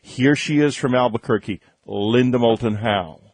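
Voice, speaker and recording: A man reads a smooth, scripted introduction for a radio segment. His pitch is mid-range for a man. The studio sound is dry and close. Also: moderate pace, darkish tone, no echo. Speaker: show announcer.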